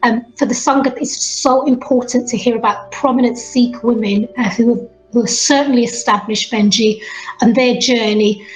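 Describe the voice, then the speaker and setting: A woman's voice: fairly high pitch, fairly even intonation, moderate pace, low-quality recording. A woman presenting an interview, thanking her guest and summing up, with many repeated "you know" fillers. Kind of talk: interview host.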